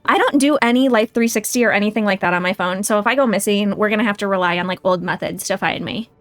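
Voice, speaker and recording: A woman's voice: mid-range voice, fast, no room sound, soft background music. A woman hosting a casual game livestream, chatting and thinking aloud in a self-deprecating way. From United States. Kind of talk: casual livestream chat and gameplay commentary.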